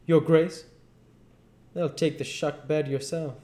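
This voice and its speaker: Man putting on a pompous, high-pitched king. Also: little pitch movement, takes it slowly, dark tone. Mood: haughty, indignant. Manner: pompous, affronted. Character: haughty, indignant conman king pulling rank. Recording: echoey home room recording.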